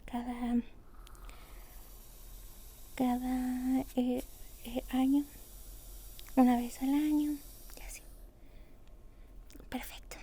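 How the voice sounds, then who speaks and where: A woman doing a soft-spoken, often whispered ASMR roleplay as a dentist, with a breathy, gentle and reassuring way of talking. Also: high voice. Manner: gentle, reassuring, using many diminutives.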